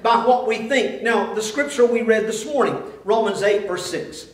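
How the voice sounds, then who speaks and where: An older man preaching a sermon in a small church, animated, pushing ahead quickly and then dropping low and quiet for emphasis. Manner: animated, gesturing preacher who speeds up and drops quiet for emphasis. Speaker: pastor. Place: small church sanctuary, indoors. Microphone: far camera, no visible mic. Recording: roomy echo, distant mic.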